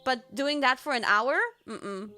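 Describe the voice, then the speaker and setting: A woman streamer telling stories in casual chat, animated, jumping to squealed quotes. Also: fairly high pitch, a little slow, music in the background. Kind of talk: casual chat, recapping a trip.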